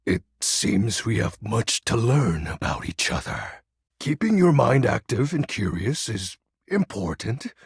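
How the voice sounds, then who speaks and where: A man voicing a melodramatic, pompous dark lord, darkness personified, in a video game, with a raspy, gravelly voice and grandiose archaic diction. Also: lowish voice, big pitch swings, measured pace, no room sound, studio quality, heavily acted. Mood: haughty, scheming. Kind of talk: video game character voice acting.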